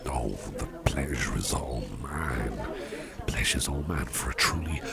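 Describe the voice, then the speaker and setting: Very deep, gravelly gentleman boss, acted by a man. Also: a loud crowd, full character voice. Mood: tender, appreciative. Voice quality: breathy, often near-whispered, gravelly. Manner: gentle, unhurried, reassuring, gentlemanly. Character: attentive, courteous businessman boss on a dinner date. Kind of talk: soft ASMR roleplay.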